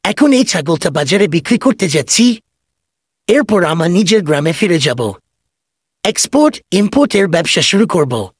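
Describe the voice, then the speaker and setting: A man acts out a sly cartoon goat thief. His voice is husky and swings up and down as he pours out glib salesman patter. The sound is dry and close. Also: high-pitched, big pitch swings, moderate pace, no room sound, exaggerated voice. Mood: boastful, glib. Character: sly, fast-talking male goat thief and swindler. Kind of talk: comedy cartoon voice acting.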